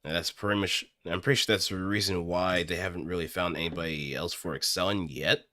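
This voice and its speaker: A man chatting casually on a livestream, musing in a halting way as he thinks aloud. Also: deep voice, steady tone, bright, forceful tone, dry recording, clean studio sound. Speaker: streamer practicing voice acting.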